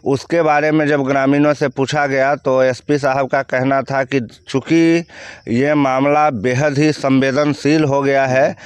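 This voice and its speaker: A man's voice: medium pitch, little pitch movement, unhurried, clean tone, no echo. A man with a Bihari accent giving blunt, outspoken opinions as a news commentator answering questions.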